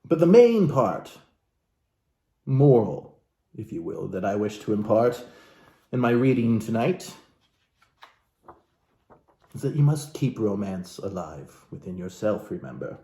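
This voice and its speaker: A man from Alaska giving a comedic, theatrical book reading as host, florid and mock-genteel, with dramatic pauses and asides to his viewers. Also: fairly low pitch, sing-song, dark tone. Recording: roomy, echoey room sound.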